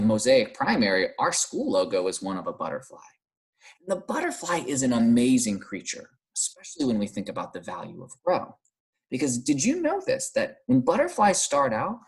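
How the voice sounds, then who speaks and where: An American man teaching young children in a read-aloud lesson, using simple, encouraging phrases and questions with pauses for answers. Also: a little high, husky. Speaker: primary school teacher.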